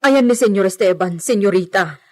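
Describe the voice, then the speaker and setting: A woman's voice: mid-range voice, animated, normal speed, lightly acted. A woman playing an anxious, deferential village servant in a radio drama. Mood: anxious, pleading. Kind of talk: Ilocano radio drama acting.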